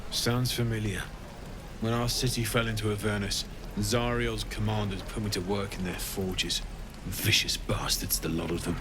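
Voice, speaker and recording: An actor playing a gruff, practical, war-worn blacksmith in a video game, matter-of-fact and wry. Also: male voice, on the low side, steady tone, normal speed, noisy background, close to an everyday voice. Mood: wry, appraising. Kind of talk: video game character acting.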